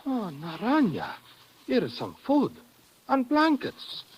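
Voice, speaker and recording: A man's voice: extremely high pitch, huge pitch swings, slow pace, breathy edge, full character voice, low-quality recording. An actor playing a weary, wary Portuguese village fisherman in a 1940s radio drama. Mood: startled, hushed.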